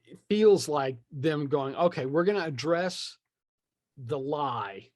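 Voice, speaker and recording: A man's voice: fairly high pitch, lively intonation, takes it slowly, no echo, studio quality. A man in a casual podcast chat, opinionated and rambling as he thinks aloud. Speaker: podcast speaker discussing film franchises.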